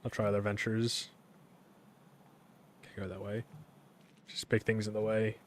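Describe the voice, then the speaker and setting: A young man streaming a game, talking in a casual, rambling way with a monotone delivery, full of fillers and restarts. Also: on the low side, unhurried, a little hiss or hum. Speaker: gaming streamer.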